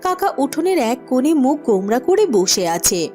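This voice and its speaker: A woman's voice: high voice, sing-song, a little fast, a little mellow, faint music behind. A woman narrating a scripted fairy tale as a cartoon storyteller.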